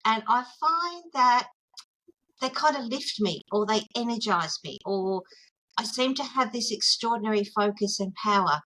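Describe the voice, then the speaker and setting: An Australian woman, a mindful arts therapist, chatting as an interview guest in an earnest, gently enthusiastic way, often pausing to find her words over a video call. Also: a little high, lively intonation, a little hiss or hum, low-quality recording. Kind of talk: casual interview chat.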